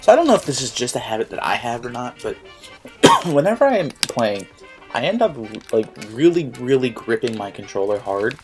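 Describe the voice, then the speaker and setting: A young man talks through his gameplay with a cold that leaves him stuffed up. His voice sits at a mid pitch, and he rambles with mild annoyance, filling gaps with likes and ums. Faint game music plays underneath. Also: very animated, brisk, a little mellow. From Philadelphia area, United States. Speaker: gaming YouTuber. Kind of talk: casual gameplay commentary.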